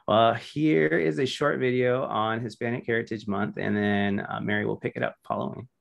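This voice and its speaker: A man's voice: medium pitch, fairly even intonation, moderate pace, gravelly. A man hosting a virtual meeting, making announcements in a conversational way with many fillers, heard over a video call. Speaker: meeting host.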